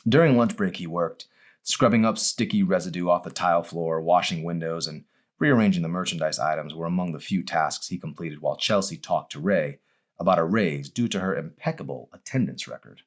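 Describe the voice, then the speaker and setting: A man reading his own novel aloud as expressive audiobook narration. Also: deep voice, a little fast.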